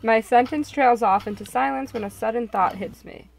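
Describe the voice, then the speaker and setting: A woman's voice: on the high side, normal speed, vocal fry, poor audio. A woman reading scripted first-person visual novel narration.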